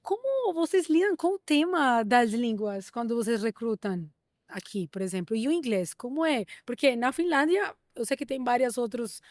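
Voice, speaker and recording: A woman's voice: on the high side, expressive, a little mellow, no room sound, clean studio sound. Woman hosting an interview. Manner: thoughtful, measured questions with many restarts. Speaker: podcast host. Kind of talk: interview conversation.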